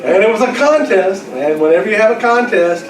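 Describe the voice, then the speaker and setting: A man's voice: high voice, lively intonation, fairly quick, over loud music. An older man acting as quiz master, reading out questions and answers to a club gathering in a big room. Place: large room at a club luncheon. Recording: distant mic in echoey room, chattering crowd.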